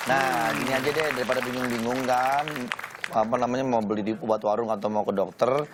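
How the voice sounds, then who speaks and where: A man's voice: mid-range voice, fairly even intonation, fairly quick, a loud crowd. A man with a Jakarta Indonesian accent hosting a talk show, explaining things casually and colloquially with a joking manner. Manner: jokey, colloquial. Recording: noisy studio with audience.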